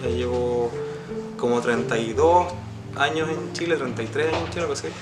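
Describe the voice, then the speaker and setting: A man's voice: mid-range voice, brisk. A man in his thirties from Argentina, a language teacher, answering casually and repeating words as he searches for them. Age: 30s. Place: room with bookshelves. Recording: roomy sound with loud guitar music.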